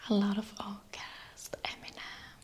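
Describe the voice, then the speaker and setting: A young woman with a Swedish accent whispering softly in an ASMR question-and-answer video, unhurried, with small hesitations. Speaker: ASMR creator.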